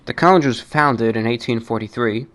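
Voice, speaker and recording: A man hosting a fan mail show, talking off the cuff and reading aloud in a deliberate, measured, slightly formal way. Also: medium pitch, fairly bright tone. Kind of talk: unscripted talk, reading and answering listener mail.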